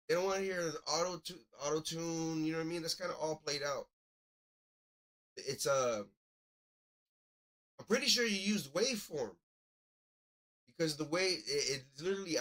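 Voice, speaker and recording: A man hosts a live music review in a fairly high-pitched voice. He talks casually and off the cuff, giving blunt, critical commentary as he goes. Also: a bit bright, poor audio. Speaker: host reviewing submitted music.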